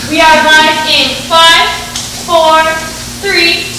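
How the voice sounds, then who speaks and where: Very high-voiced woman in an echoey hall. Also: flat delivery, extremely slow. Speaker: on-air cue caller for a stage radio show. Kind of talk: announcing a countdown to an audience. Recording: echoey hall from far off, loud noise.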